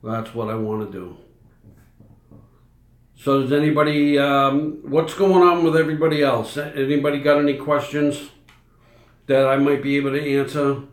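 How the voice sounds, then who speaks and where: A man's voice: medium pitch, steady tone, moderate pace, pauses often. A middle-aged man with a Boston accent, chatting casually on a livestream and rambling through fillers and restarts. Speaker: livestream host. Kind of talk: casual live chat answering viewers. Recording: echoey room.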